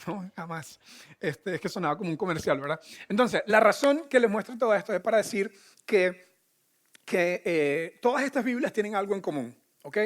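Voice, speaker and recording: A man's voice: high voice, fairly bright tone. A man, teaching a church group in a chatty, joking way. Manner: chatty, joking, shows books. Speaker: pastor. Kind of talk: casual teaching talk.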